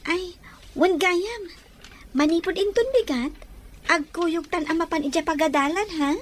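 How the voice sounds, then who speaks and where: Woman acting a very high-voiced, coy schoolgirl. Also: a little slow. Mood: eager. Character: coy, eager, lovestruck schoolgirl from a rich family. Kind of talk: radio drama acting.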